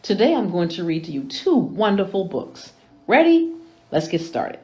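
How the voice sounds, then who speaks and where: A woman reading picture books aloud to children in an expressive storytime style, as a host with a deliberate, animated delivery. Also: fairly low pitch, poor audio.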